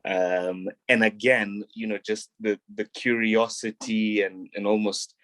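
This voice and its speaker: A young man from Kenya, a guest on an interview show, talking in a relaxed, reflective way with frequent ums and restarts. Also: lowish voice, unhurried, dry recording. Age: young adult. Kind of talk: casual interview talk.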